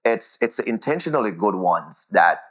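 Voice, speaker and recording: A man's voice: on the low side, very animated, moderate pace. A man with a Jamaican accent answering interview questions conversationally, repeating words as he goes and often checking in with "right?".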